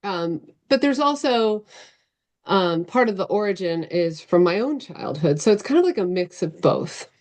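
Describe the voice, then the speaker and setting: A woman's voice: mid-range voice, lively intonation, normal speed, a little mellow. A middle-aged woman answering interview questions casually on a video call, loosely structured and often correcting herself as she explains. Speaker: interview guest, novelist. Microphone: video call microphone.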